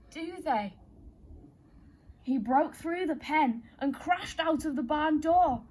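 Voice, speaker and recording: A woman's voice: sits high, a little mellow. A woman reading a story aloud to children in a playful, theatrical way, speaking directly to her young listeners.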